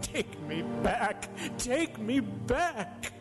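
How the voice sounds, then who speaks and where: An actor playing an anguished old miser in a live radio drama, pleading in drawn-out words. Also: male voice, very high voice, big pitch swings, exaggerated voice. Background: music.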